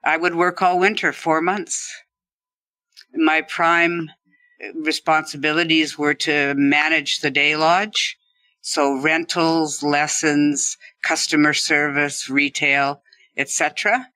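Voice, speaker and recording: Middle-aged woman, very bright sharp tone, dry close sound, conversational storytelling. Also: sits low, flat delivery, takes it slowly, dry recording. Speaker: podcast guest, former ski instructor.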